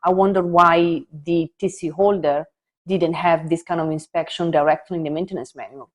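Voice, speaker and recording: A woman co-hosting a conversational discussion, reasoning through points in a slightly halting, tentative way. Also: fairly low pitch, fairly even intonation. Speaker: podcast co-host.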